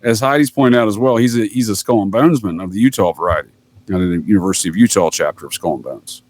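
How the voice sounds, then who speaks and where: A man's voice: on the low side, quick pace, darkish tone. A man from the United States explaining things casually as a podcast guest, with wry, folksy asides.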